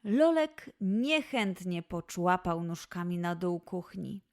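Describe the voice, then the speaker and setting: A woman narrating a scripted children's story with an expressive, dramatised delivery. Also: lowish voice, takes it slowly.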